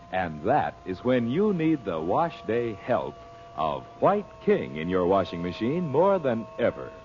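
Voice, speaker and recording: Animated American old-time radio announcer, male. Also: lowish voice, a little hiss or hum. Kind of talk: 1940s radio announcing and ad read. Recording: dull vintage radio recording.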